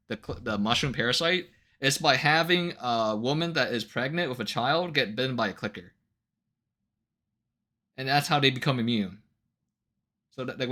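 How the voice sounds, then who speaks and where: A man chatting casually on a livestream, ranting to viewers in an incredulous, animated way with casual swearing. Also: medium pitch. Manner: laughs often between remarks. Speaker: live streamer.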